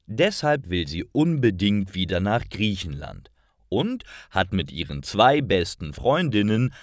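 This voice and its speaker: A man reading scripted stories for language learners with careful, deliberate enunciation. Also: on the low side, very animated, dry recording, clean studio sound. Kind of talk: scripted story narration for learners.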